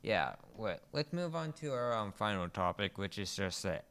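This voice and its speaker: A man's voice: fairly low pitch, big pitch swings, normal speed, fairly bright tone, slight background noise. A man co-hosting a gaming show in a casual, conversational style.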